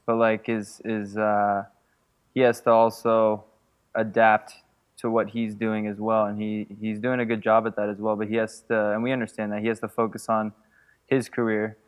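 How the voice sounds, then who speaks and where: A man's voice: lowish voice, monotone, moderate pace, smooth. A young man rambling as a guest on a casual podcast interview, packing his talk with fillers and going off on tangents. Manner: rambling, full of "like", chuckling.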